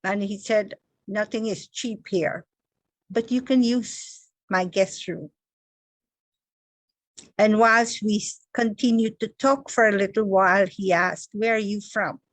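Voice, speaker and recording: A woman's voice: medium pitch, slow, no room sound. A woman from Guyana, giving an inspirational spiritual talk as a meditation teacher, stressing her words one at a time in emphatic lists.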